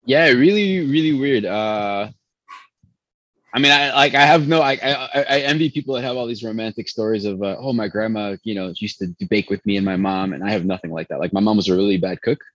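A man's voice: lowish voice, brisk, fairly bright tone, dry recording. Pastry chef guy telling stories, lots of "like", kinda dull dry sound. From born in Chicago, raised in Venezuela. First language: Spanish. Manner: rambling, lots of restarts and "like". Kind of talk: casual interview storytelling.

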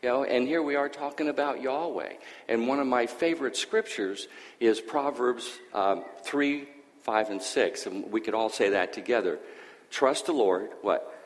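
A man's voice: medium pitch, normal speed, long reverb tail, poor audio. A man born in Greece sharing his personal testimony at a church, talking conversationally with hesitant fillers.

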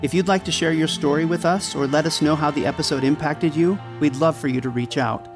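A man's voice: on the high side, steady tone, fairly quick, music in the background. A man reading a scripted podcast outro in an earnest, supportive manner. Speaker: podcast cast member and announcer.

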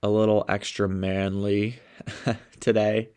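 Man, congested and sniffly, lowish voice, upbeat motivational podcast talk, coaching tone. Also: little pitch movement, slow pace, darkish tone, smooth. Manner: upbeat, enthusiastic. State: stuffed up, sniffling. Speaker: podcast host and sales coach.

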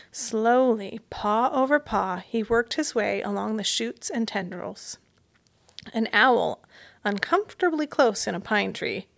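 Female storyteller for a children's chapter book, noticeable creak in the voice, expressive and deliberate delivery. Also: mid-range voice. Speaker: mystery guest reader, school staff. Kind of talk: children's audiobook read-aloud.

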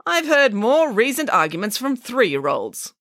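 A woman voicing a bossy, theatrical, self-assured lady pig from a children's story, grand and brisk in manner. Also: a little high, wildly swooping pitch, fairly bright tone, dry recording, studio quality, pitched above the performer's own voice. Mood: emphatic, breezy. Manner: grandiose, brisk, dismissive, emphatic. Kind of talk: acted children's storybook dialogue.

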